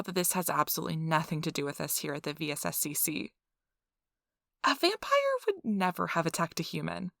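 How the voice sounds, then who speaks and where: Woman playing a polite, creaky-voiced clinic interviewer. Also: on the low side, fairly bright tone, dry recording, studio quality. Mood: gossipy, defensive. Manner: polite, officious, chatty, reassuring. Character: chipper, gossipy female interviewer at vampire blood donation center. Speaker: ASMR role-play performer. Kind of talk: ASMR role-play interview.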